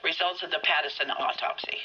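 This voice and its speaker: A woman's voice: deep voice, fairly even intonation, fairly quick, highs cut off, slight background noise. A woman playing an efficient, polite 1940s police telephone operator in a game, heard over a telephone. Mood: businesslike. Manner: businesslike, polite.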